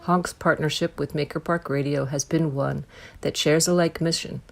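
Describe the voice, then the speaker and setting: Gravelly documentary narrator. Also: medium pitch, steady tone, normal speed, a little hiss or hum. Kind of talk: scripted narration.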